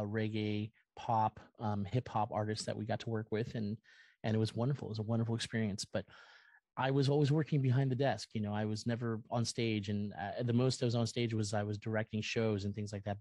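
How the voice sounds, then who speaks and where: A man's voice: fairly low pitch, darkish tone, dry recording. A middle-aged American man speaking casually as an interview guest, rambling earnestly and effusively about himself. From California, United States. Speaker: podcast guest, musician and content creator. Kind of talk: casual, personal interview talk.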